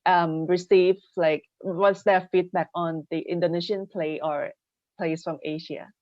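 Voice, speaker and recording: A woman's voice: on the low side, steady tone, measured pace, a little mellow, no echo. A woman interviewing casually, feeling her way tentatively through roundabout questions, on slightly dull audio. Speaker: interviewer, podcast host.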